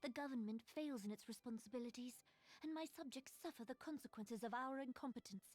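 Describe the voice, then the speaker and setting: Lady voicing a regal young queen in a video game, high voice, serious n formal, very dry sound. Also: a little mellow, dry recording, close to an everyday voice. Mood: grave, resolute. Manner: solemn, formal, deliberate. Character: regal, resolute, grave young fantasy queen. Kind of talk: video game cutscene acting.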